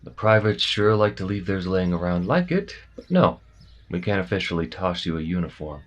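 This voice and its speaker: A low-pitched, easygoing military man on checkpoint duty, acted by a man. He is matter-of-fact and casual, explaining things a little hesitantly in the manner of an audio roleplay. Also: lively intonation, normal speed, muffled recording.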